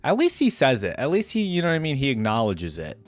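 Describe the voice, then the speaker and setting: A man's voice: mid-range voice, huge pitch swings, quick pace, soft background music. A man hosting a reaction video, giving informal, slangy, conversational commentary.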